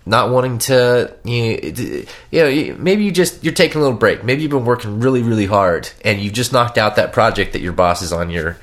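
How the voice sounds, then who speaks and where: A man's voice: medium pitch, lively intonation, a little fast, few pauses. Stuffed-up, nasal male podcast host. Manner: rambling, joking, stumbling restarts. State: stuffed up from a cold. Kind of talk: casual chat.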